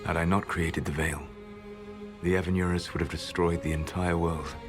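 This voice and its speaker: Game casting: male actor as ancient elven god, very low voice, solemn, proud, regretful, measured delivery over music. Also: level tone, lightly acted. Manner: measured, solemn, formal phrasing. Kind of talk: dramatic video game dialogue.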